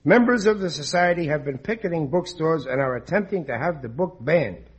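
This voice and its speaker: An actor playing a blustering, stubborn, dim working-class husband of about forty in a 1940s radio comedy, often hesitant and ungrammatical. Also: male voice, on the high side, expressive, fairly quick, breathy edge. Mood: puzzled, evasive. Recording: old radio broadcast recording.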